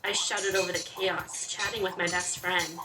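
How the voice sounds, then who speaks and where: A woman's voice: lowish voice, flat delivery, normal speed. Chatty woman playing a game, roomy sound. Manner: chatty, reactive. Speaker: game player reading aloud. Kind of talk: let's play commentary with expressive reading of story text. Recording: roomy, distant, music behind. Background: game music.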